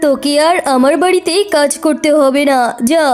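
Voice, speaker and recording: A woman's voice: high voice, normal speed, a little mellow, natural voice. A woman voicing a haughty, callous tigress employer in a children's cartoon, scolding and dismissive.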